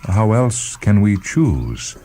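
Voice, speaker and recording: A man voicing an indifferent, tentacled alien crewmate in a science fiction story, with formal, deliberate phrasing. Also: lowish voice, sing-song, warm tone, soft background music, pitched above the performer's own voice. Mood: questioning.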